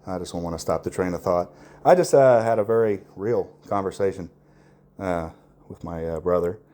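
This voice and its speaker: Man, lowish pitch, unscripted podcast host, earnest, reflective, hesitant phrasing, casual profanity. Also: warm tone. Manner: halting, reflective, searching for words; swears casually. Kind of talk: candid unscripted monologue, casual and confessional.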